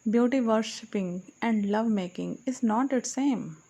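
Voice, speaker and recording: Female audiobook narrator, higher-pitched, solemn recital of archaic verse with self-corrections. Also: unhurried, warm tone. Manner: solemn recitation, correcting her own slips. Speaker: audiobook reader. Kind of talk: reading archaic poetry aloud.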